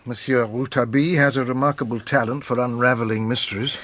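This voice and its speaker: An actor playing a composed, self-assured police inspector in a radio drama, polite and measured in manner. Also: male voice, medium pitch, fairly even intonation, a bit bright, natural voice. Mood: measured, courteous.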